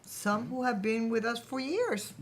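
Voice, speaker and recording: A woman's voice: fairly high pitch, lively intonation, a little slow, a little hiss or hum. A woman acting in a staged reading as a chatty, self-assured small-company boss, polished and businesslike. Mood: proud, businesslike. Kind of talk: staged reading of a workplace satire.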